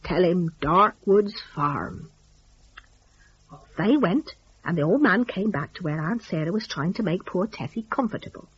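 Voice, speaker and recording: Animated, husky woman storyteller. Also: fairly low pitch, fairly quick, hardly any pauses, lo-fi sound. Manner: folksy, conversational phrasing. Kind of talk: dramatic storytelling in colloquial rustic idiom.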